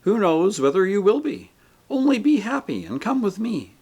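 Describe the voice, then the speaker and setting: A man doing a serene, joyful bright spirit. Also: high-pitched, normal speed, breathy edge, pitched above the performer's own voice, heavily acted. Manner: calm, patient, gently reassuring. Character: radiant joyful male spirit, calm and gentle.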